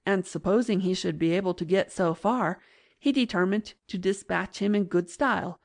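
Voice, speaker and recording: A woman reading classic fiction as an audiobook narrator in a measured, literary manner. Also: lowish voice, vocal fry, a little mellow.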